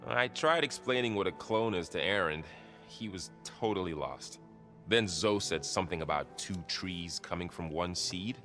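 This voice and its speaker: Game companion: easygoing young male soldier, deep voice, gentle and casual. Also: normal speed, faint music behind. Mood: wry, amused. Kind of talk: video game cutscene acting.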